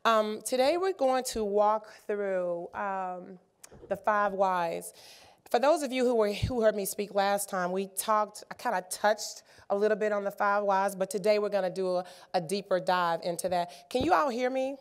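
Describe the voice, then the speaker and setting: Slightly raspy woman presenting a workshop. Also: mid-range voice, normal speed. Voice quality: many filler ums and uhs. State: slightly raspy from a sinus cold. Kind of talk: conference workshop talk. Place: conference room.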